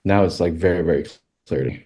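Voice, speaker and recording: A man from Thailand, a podcast guest, speaking haltingly with many fillers and restarts in a casual interview. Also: sits low, breathy edge, dull audio. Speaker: podcast guest, western filmmaker.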